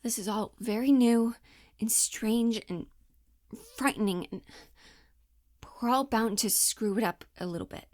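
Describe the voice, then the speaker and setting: A woman's voice: a little high, unhurried, long pauses, darkish tone, close to an everyday voice. A woman performing a comedic stage monologue as a fed-up, guilt-tripping woman scolding her boyfriend, given to outbursts. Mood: restrained, wounded.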